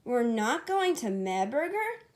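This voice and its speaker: Woman voicing a high, flustered young hedgehog girl. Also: very animated, unhurried. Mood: teasing. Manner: bashful, easily flustered. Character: shy, excitable young female hedgehog sweetheart.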